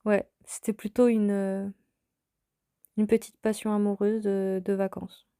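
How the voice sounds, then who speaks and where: A woman in her thirties hosts a personal storytelling podcast, speaking in a calm and reflective way. Her voice is mellow and soft-edged, with little rise and fall in pitch. She is recorded close to the mic in a dry, dead-sounding space. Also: slow pace, clear, resonant tone, no room sound. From France. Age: 30s. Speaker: podcast host.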